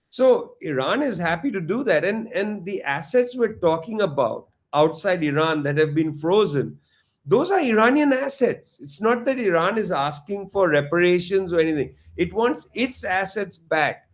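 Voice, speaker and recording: A man talking as a political commentator in an interview, fluent and emphatic, often restarting his phrases. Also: sits high. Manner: fluent, emphatic, frequent repeated starts. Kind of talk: analytical interview talk.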